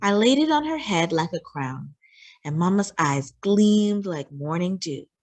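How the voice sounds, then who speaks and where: A woman reading a children's picture book aloud, expressively and deliberately, with dramatic delivery. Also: on the low side, lively intonation, darkish tone, no echo. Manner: deliberate and dramatic, acting out dialogue. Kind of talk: expressive scripted read-aloud narration.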